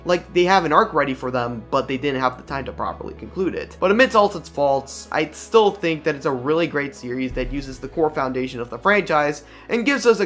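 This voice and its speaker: A man's voice: on the high side, a bit bright. A young man narrating a scripted video essay in an opinionated, informal, slangy way.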